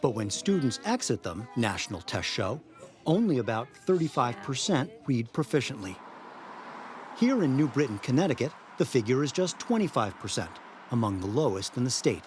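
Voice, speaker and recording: A man's voice: medium pitch, big pitch swings, moderate pace, faint music behind. An American man reading scripted news narration as a correspondent.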